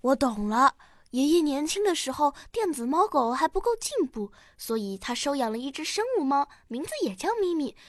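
A woman's voice: sits high, very animated, normal speed, dry recording, clean studio sound. A woman playing a curious, eager ten-year-old girl in a radio drama. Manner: childlike, eager, questioning.

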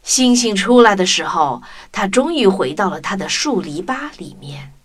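A woman's voice: medium pitch, animated, normal speed. A woman narrating a children's story expressively. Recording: music under the voice.

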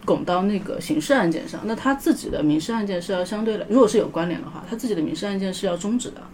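Fast-talking woman on a podcast panel. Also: medium pitch, animated, noisy background. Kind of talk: casual podcast discussion.

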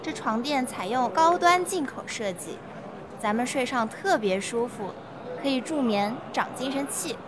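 High-voiced, pushy saleswoman, acted by a woman, noisy recording. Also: animated, measured pace. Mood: persuasive. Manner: ingratiating, persuasive sales patter. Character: smooth-talking, pushy female health-product saleswoman pitching magnetic mattresses. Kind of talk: amateur legal-education radio play.

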